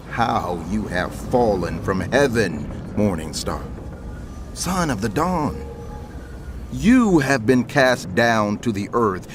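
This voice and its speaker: An actor playing a prophet narrator, solemn and declamatory, in dramatic scripture narration. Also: male voice, mid-range voice, noisy background. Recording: music under the voice.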